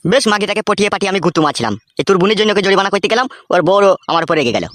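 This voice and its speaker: A man's voice: sits high, expressive, rapid-fire, a bit bright, no echo, studio quality. A man voicing a bossy, sulky, lecherous gangster dog in a comic cartoon, grumbling coarsely and swearing. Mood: scheming, annoyed.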